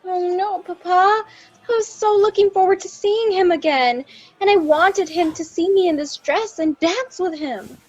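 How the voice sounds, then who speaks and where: A woman's voice: very high voice, a little slow, a little mellow, lo-fi sound. An actress playing a sweet, eager young daughter in a radio drama, girlish and polite. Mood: eager, wistful.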